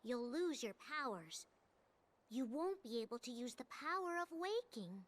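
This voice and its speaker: A woman voicing a small, cute cat-like spirit guide in a video game, earnest, gentle and cautioning. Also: sits high, big pitch swings, unhurried. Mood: grave warning. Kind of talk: video game cutscene voice acting.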